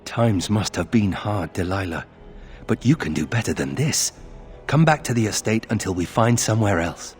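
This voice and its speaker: An actor playing a brooding young nobleman in a video game, husky and earnest, with a subdued delivery. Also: lowish voice, lively intonation, normal speed, soft background music, close to an everyday voice. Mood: concerned. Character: brooding young nobleman troubled by his family's disgrace.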